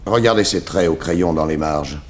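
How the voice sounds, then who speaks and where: An actor in a 1960s radio serial playing a confident, methodical private detective who explains things with assurance. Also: male voice, sits low, normal speed, a little hiss or hum, lightly acted. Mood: assured, explaining. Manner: assured, explanatory, crisp deductions.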